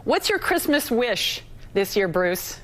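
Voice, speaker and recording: A woman's voice: fairly high pitch, sing-song, takes it slowly. A woman interviewing as a TV host in a friendly, informal, chatty broadcast style. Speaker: TV news host interviewing a guest.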